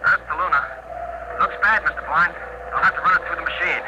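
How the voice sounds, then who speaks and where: Nervous ground control man, talks fast, old radio play, thin filtered dull sound. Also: on the high side. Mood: urgent. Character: anxious male Earth mission controller on the radio. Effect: radio transmission filter. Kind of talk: 1950s radio drama acting. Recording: noisy, muffled old radio recording, phone-like.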